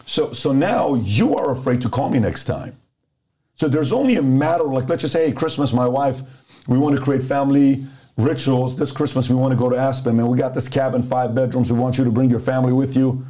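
Middle-aged man from Iran, relaxed warm voice, casual podcast guest who plays out both sides of a story. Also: medium pitch, a little fast. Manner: animated storyteller who acts out dialogue.

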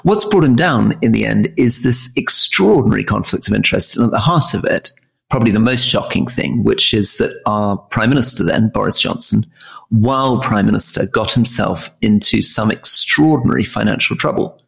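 A man co-hosting a political podcast, speaking in a measured, articulate way with enthusiastic reactions. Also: fairly low pitch, lively intonation, vocal fry, fairly bright tone. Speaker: podcast co-host. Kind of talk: casual political chat.

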